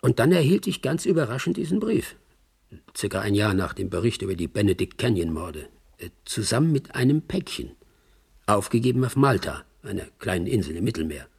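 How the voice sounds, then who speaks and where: A man's voice: fairly low pitch, sing-song, brisk, gravelly, a little mellow, husky, heavily acted. A man acting a shrewd middle-aged talent agent who narrates a German radio drama in a wry, musing, conversational way. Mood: puzzled, musing.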